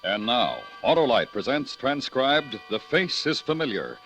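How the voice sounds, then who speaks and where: A man's voice: medium pitch, sing-song, unhurried. A man announcing a radio thriller with a dramatic, scripted 1950s introduction style. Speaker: programme announcer. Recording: old radio broadcast, loud music behind.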